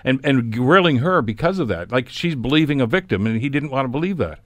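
A man's voice: medium pitch, lively intonation, quick pace, fairly bright tone, dry recording. Man hosting a talk panel, dry studio sound. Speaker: radio talk show host. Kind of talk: opinionated panel discussion.

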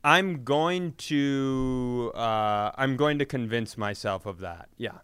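A man's voice: medium pitch, takes it slowly, a bit bright, clean tone, no room sound, studio quality. A man co-hosting a comedy podcast, telling stories casually in a confessional, self-deprecating way. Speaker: podcast co-host.

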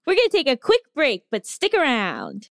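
High, lively woman co-hosting a podcast. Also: normal speed, no echo, clean studio sound. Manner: curious, giggly. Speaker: podcast co-host. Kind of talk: casual chat.

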